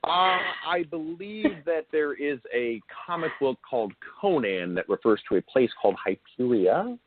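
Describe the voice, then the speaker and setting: A man's voice: on the high side, big pitch swings, normal speed, a little hiss or hum. A man hosting an interview in casual talk, with hesitant, stop-and-start phrasing. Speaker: interview host. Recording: tinny, band-limited phone-like audio.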